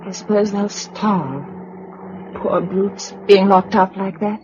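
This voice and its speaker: A woman's voice: medium pitch, slow pace, a little mellow, slight background noise, close to an everyday voice. An actress playing a shaken, well-bred young Englishwoman in a radio drama, with an English accent and a genteel, hesitant, emotional manner. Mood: uneasy. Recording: dull lo-fi radio drama recording.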